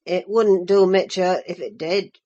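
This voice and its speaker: Gruff clumsy young country lad, voice dropped low, read by a woman, slow. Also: highs cut off, natural voice. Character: rough, awkward young countryman.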